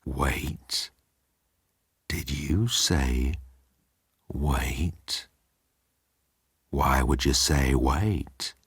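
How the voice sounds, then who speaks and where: A pompous old male alien commander, played by a man, menacing and sardonic. He croons slowly and deliberately in a low, husky register, as a villain in a sci-fi audiobook. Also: fairly bright tone, dry recording, clean studio sound, full character voice. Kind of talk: audiobook character acting.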